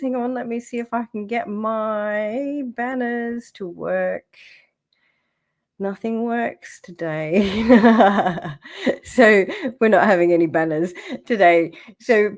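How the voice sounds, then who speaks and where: A woman hosting a casual crypto livestream, her voice croaky from the flu, chatty and rambling with many fillers. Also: mid-range voice. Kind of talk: casual livestream chart talk. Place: home setup, camera off.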